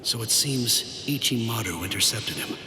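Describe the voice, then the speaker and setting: An actor playing a brooding, earnest young warrior lieutenant with a blunt manner in an anime game. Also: male voice, mid-range voice, expressive, normal speed, sharp tone, slight background noise. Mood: brooding, hushed. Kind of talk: English-dubbed anime game dialogue.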